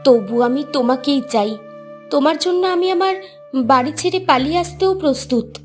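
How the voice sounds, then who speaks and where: Cartoon heroine, young woman, acted: earnest, tender, devoted, high-pitched, with light music underneath. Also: expressive, normal speed, a little mellow. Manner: tender, pleading. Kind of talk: cartoon story voice acting.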